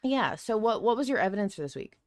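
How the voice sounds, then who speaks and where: A woman's voice: medium pitch, sing-song, quick pace, no room sound, clean studio sound. A woman hosting a podcast, talking casually as she discusses a story with a co-host.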